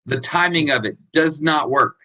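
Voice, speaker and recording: A man with a Texan accent, a country singer telling stories in a relaxed interview and laughing easily. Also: fairly high pitch, moderate pace, low-quality recording. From Texas. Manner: laid-back storytelling, laughs easily. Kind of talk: casual interview chat.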